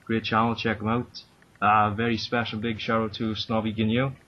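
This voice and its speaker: A man with a fairly low voice, heard over dull, lo-fi voice-call audio with a faint hiss. He chats easily, laughs a lot and wraps up with thanks and shout-outs. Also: flat delivery, normal speed. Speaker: channel host. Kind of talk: casual Skype chat. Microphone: computer mic on a voice call.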